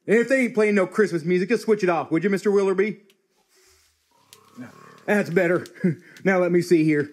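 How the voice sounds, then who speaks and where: High pitched old country fella, fussy, exasperated, old radio comedy style. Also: male voice, normal speed, long pauses. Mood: folksy, chatty. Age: older. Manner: exasperated, folksy drawl grammar. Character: fussy, folksy old-timer hotel keeper man. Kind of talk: old-time live radio comedy acting.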